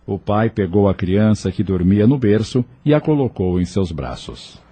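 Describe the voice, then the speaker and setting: A man narrating an audio novel in a measured, expressive storytelling way. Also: lowish voice, slightly rough.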